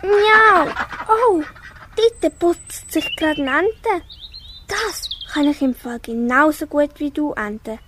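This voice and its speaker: A woman's voice: high-pitched, animated. A young girl with a Swiss German accent playing a small, lonely, curious kitten in an amateur school radio play, meowing and earnest. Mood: stubborn, then panicky. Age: child or young teen. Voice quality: childlike, meowing. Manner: slow, earnest story-acting with meows. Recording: faint background noise.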